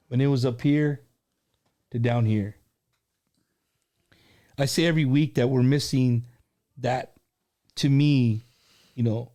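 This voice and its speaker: A male host in a casual interview with a relaxed, mellow voice at an average pitch. He speaks haltingly, doubling back on his words, and swears freely. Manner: halting, repeats words, profane. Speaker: show host.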